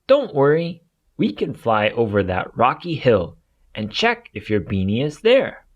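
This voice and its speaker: A man's voice: medium pitch, wildly swooping pitch, unhurried, dull audio. A man voicing a caring, polite elder bird in a children's storybook. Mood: reassuring. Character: helpful, polite elder bird of a migrating flock. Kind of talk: children's storybook read-aloud.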